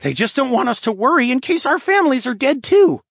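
A man voicing a young lynx-folk boy, blunt and excitable, with an emphatic boyish manner in a fantasy audiobook. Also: very high voice, moderate pace, vocal fry, no echo, clean studio sound, voice pushed up, full character voice. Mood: urgent, hissing.

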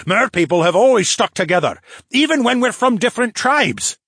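A man performs an enraged merman from a fantasy story. The delivery is raised in pitch and husky, full of snarling demands. He is fierce and quick to anger. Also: very animated, a bit bright, dry recording, studio quality, exaggerated voice. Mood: demanding.

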